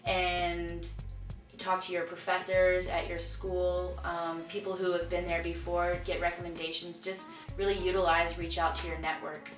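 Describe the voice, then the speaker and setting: Low-voiced young woman, flat roomy delivery. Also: normal speed. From Augusta, Georgia. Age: young adult. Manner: enthusiastic, with frequent "um" fillers. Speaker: college student. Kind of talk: casual interview testimony. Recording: roomy echo with faint background music.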